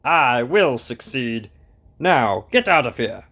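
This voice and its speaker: A man's voice: mid-range voice, very animated, slow, a bit bright, slightly rough, heavily acted. A man playing a treacherous, ambitious prince and captain in an audio drama, commanding and curt. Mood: scheming, confident.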